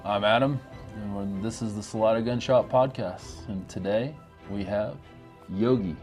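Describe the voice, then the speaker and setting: American guy with a low voice hosting a laid out casual podcast, loose phrasing, bit of music behind. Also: normal speed, darkish tone. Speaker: podcast host, interviewer.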